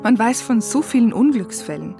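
A woman narrating reflectively from a script, in deliberate short phrases. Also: a little high, animated, gravelly. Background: music.

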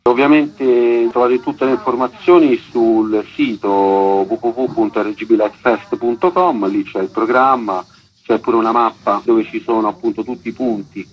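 A man's voice: medium pitch, steady tone, moderate pace, slightly rough, lo-fi sound, faint music behind. A man speaking informally as a guest in a radio interview. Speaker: festival artistic director, interview guest.

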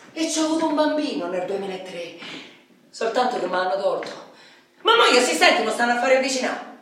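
An actress playing a rough, candid, wounded ex-convict street woman with a Roman accent, in a colloquial, rambling radio theatre monologue. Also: a little high, a bit bright. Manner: colloquial, rambling, confiding, with outbursts. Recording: very echoey room, distant microphone.

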